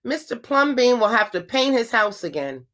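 A woman's voice: fairly high pitch, big pitch swings, moderate pace, fairly bright tone. A woman reading aloud the part of indignant, gossiping neighbors in a storybook, exclaiming and scolding. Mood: dismayed.

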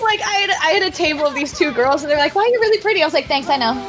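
Very high-pitched, giggly woman chatting. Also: animated, rapid-fire. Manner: giggly, informal, exclamatory. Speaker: voice actress in a cast commentary. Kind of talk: casual group chat, reacting. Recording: music playing behind the voice.

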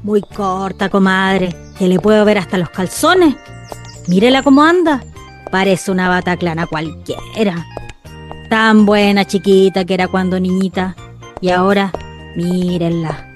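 A woman's voice: mid-range voice, measured pace, soft background music, lightly acted. A woman with a Chilean accent playing a gossipy but placating neighborhood shopkeeper in a radio drama, familiar and chatty.

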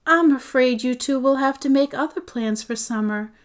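A woman's voice: high-pitched, moderate pace, voice pushed up, close to an everyday voice. A woman reading aloud voices a cheerful, dreamy artist mother who coaxes her children with enthusiasm. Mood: coaxing. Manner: bright, coaxing, enthusiastic.

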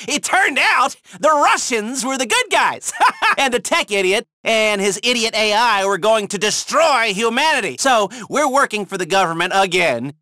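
High-pitched, excitable hacker. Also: male voice, dry recording, clean studio sound, heavily acted. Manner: nervy, chatty, swears, laughs at own quips. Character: excitable, jittery male hacker heist planner. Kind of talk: video game mission dialogue.

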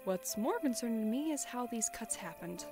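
A woman's voice: a little high, animated, moderate pace. Stern fantasy investigator played by a woman, music behind. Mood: analytical. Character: stern, accusing female investigator in a fantasy world. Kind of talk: audio drama acting. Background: background music.

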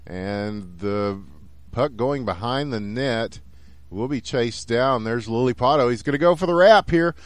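Excitable Texan man calling a hockey game. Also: mid-range voice, unhurried, no echo. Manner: excitable, rising to shouts on goals. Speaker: esports caster. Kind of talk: play-by-play commentary.

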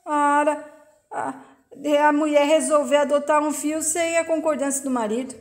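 A stubborn, grumbling country husband protesting his wife's plans, read out by a woman in her own high voice. He comes across indignant and stiff. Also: steady tone, moderate pace, natural voice. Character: stubborn rural man, middle-aged, grumbling.

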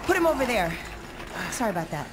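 A lively-toned, businesslike doctor. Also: female voice, fairly high pitch, fast. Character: busy, matter-of-fact female prison doctor. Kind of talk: video game cutscene acting. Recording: clear background noise.

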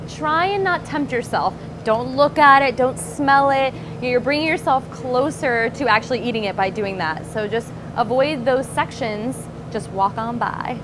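A woman in her thirties gives direct, emphatic advice as a nutrition coach, her voice sitting a little high. She is recorded on a lapel mic inside a big grocery store. The hum and clatter of the shop come through plainly behind her. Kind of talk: instructional presentation. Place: large grocery store, indoors. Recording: store noise in the background.

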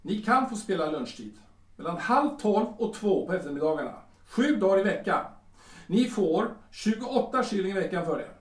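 A man's voice: sits high, sing-song. A man acting a smug, lecherous café owner in a Swedish audio drama, oily and hesitant, often repeating himself. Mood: dismissive haggling. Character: smug, lecherous male Viennese café owner, haggling and menacing. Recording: roomy, distant from the mic.

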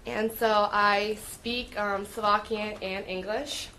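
A young woman from the United States giving a personal statement at a meeting, halting, with many "um" and "like" fillers. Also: medium pitch, fairly even intonation, sharp tone, slight background noise, rough recording. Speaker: student speaking at public comment. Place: meeting room with audience.

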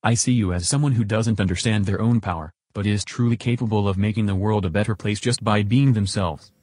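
Low-voiced earnest chatbot companion, acted by a man. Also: fairly even intonation, a little fast, darkish tone, dry recording, clean studio sound, lightly acted. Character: male chatbot companion, earnest, agreeable, curious.

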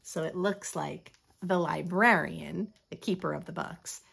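An American woman in her thirties reading a picture book aloud with expressive, teacherly asides to young children. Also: fairly low pitch, measured pace, vocal fry, husky. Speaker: children's story host. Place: small furnished room at home.

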